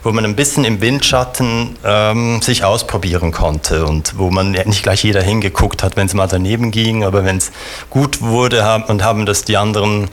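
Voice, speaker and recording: A middle-aged man from Switzerland, a theater director answering interview questions thoughtfully with many pauses and restarts. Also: on the low side, slight background noise.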